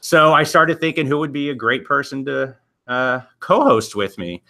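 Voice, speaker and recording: Man, podcast host on a video call, bright forceful voice, rambling and self-deprecating. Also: mid-range voice, animated, moderate pace, gravelly. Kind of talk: casual interview chat.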